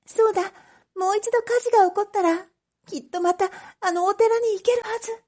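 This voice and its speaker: A woman's voice: extremely high pitch, steady tone, brisk, darkish tone, voice pushed up. A woman voicing an earnest, impulsive, lovestruck teenage merchant's daughter in a storybook reading, with polite and emotional delivery. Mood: wistful, desperate. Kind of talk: storybook read-aloud acting.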